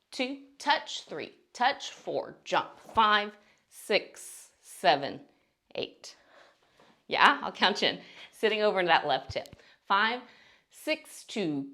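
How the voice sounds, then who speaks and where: A woman's voice: lowish voice, fairly bright tone. A woman teaching a beginner dance tutorial step by step, encouraging and counting beats aloud between instructions.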